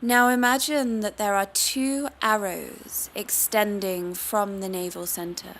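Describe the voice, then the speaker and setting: A woman teaching yoga meditation, guiding the listener in a calm, soothing, unhurried manner. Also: medium pitch. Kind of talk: guided meditation.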